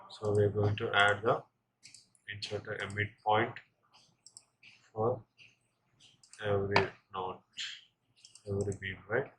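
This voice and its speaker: A man narrating a step-by-step software tutorial as an instructor, in halting short phrases with frequent check-in tags. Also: on the low side, low-quality recording. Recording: clear background noise, keyboard clicks.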